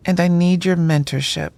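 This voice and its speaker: A woman's voice: fairly low pitch, vocal fry, warm tone. A woman playing a frustrated, self-doubting but stubborn writer in an audio drama. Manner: exasperated, hesitant.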